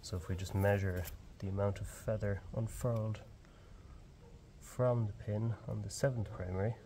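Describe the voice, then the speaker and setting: A young man with an Irish accent and a low voice talks calmly through the steps of handling a chick. He speaks in an open farm shed on a camera mic, with steady outdoor ambience noise behind him. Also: moderate pace. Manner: calm, explanatory. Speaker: barn owl ringer. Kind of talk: explaining fieldwork on camera.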